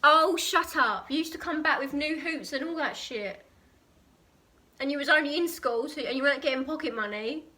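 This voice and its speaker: A young British woman chatting casually and telling an anecdote in a giggly, animated way. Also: high-pitched, brisk. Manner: giggly, animated storytelling with acted quotes.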